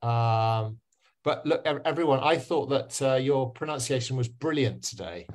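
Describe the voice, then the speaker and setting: A man's voice: mid-range voice, normal speed, darkish tone. Guy teaching pronunciation over a video call, lots of ums and restarts. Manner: hesitant, many fillers and restarts. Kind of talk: online class.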